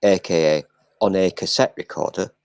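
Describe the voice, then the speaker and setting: An older man hosting a casual live chat, talking in a flat, self-deprecating way and swearing now and then. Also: low-pitched, moderate pace, gravelly, clear, resonant tone, no echo, clean studio sound.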